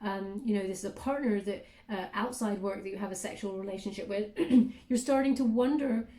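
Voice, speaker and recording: Mellow-voiced woman giving a chatty tarot reading. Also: medium pitch, brisk, echoey room. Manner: chatty, frequent fillers and "okay?" check-ins. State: clearing throat, voice catching. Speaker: tarot reader.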